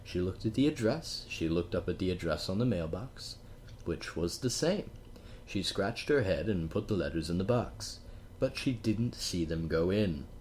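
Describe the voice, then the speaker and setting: A man's voice: deep voice, lively intonation, normal speed, a little mellow, a little hiss or hum. A man narrating a storybook, slipping into playful, teasing asides to the listener. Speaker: audiobook narrator. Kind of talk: storybook reading.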